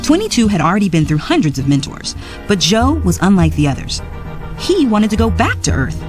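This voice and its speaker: Lively woman reading a storybook. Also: medium pitch, brisk. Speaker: narrator. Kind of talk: storybook read-along narration. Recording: narration over soft music.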